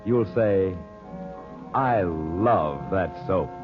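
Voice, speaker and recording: A man announcing on 1940s radio, delivering a sponsor pitch in a polished, coaxing, rhetorical manner. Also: lively intonation, very slow. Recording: dull old radio recording. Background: music.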